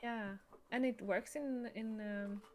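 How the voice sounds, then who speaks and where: A woman's voice: fairly high pitch, steady tone, slow pace, a little mellow, slight background noise. A giggly, informal woman chatting casually as the host of a game livestream.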